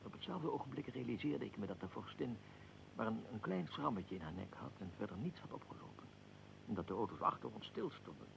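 An actor playing a dignified archduke in a radio drama, recounting events in a measured, formal, deliberate way. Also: male voice, fairly low pitch, sing-song, creaky, background noise, full character voice. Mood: grave recounting. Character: archduke, dignified aristocrat recounting his own assassination. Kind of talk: radio drama, recollection in interview form.

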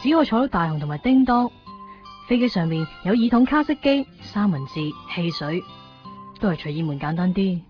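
香港口音女聲演員，隨和又純真. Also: female voice, mid-range voice, animated, a little fast, natural voice. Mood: dreamy. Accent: Hong Kong. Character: easygoing, innocent homeless young woman, matter-of-fact. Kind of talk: radio drama acting. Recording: dull 1990s radio drama recording. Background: faint background music.

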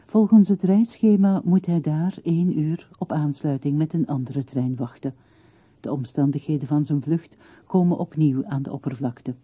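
A woman's voice: deep voice, moderate pace, breathy edge. A woman announcer reading a scripted introduction to a radio drama. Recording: roomy, muffled narrowband radio recording.

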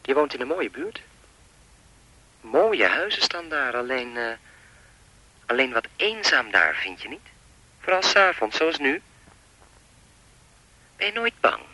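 A man's voice: medium pitch, very animated, measured pace, long pauses, sharp tone, no echo, heavily acted, poor audio. An actor playing a chatty, lewd and menacing anonymous male caller in a radio play, insinuating and mock-polite as he rambles. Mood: quietly menacing.

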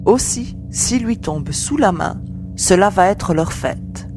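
A woman's voice: medium pitch, animated, takes it slowly, music in the background. A woman playing a determined young female mercenary in a science fiction audio drama.

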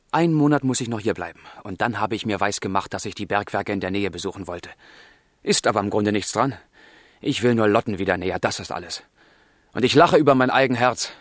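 A man's voice: on the low side, no echo. An actor playing a passionate, tormented young man in a literary radio drama, speaking in formal, intense language. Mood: restless, resolute. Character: passionate, tormented young man of feeling, letter-writing lover. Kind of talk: German literary radio drama, monologue and dialogue.

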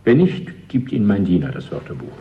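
An actor playing a courteous, guarded male party official in a radio drama, speaking politely and deliberately with an insinuating undertone. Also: low voice, big pitch swings, highs cut off, noisy background.

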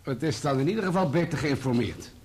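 A self-righteous newspaperman testifying in a radio drama. The voice is husky and moves freely in pitch, while the delivery stays formal, measured and sure of itself. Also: animated, darkish tone. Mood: firm. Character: self-righteous, firm male journalist testifying as witness. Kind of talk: radio play courtroom acting.

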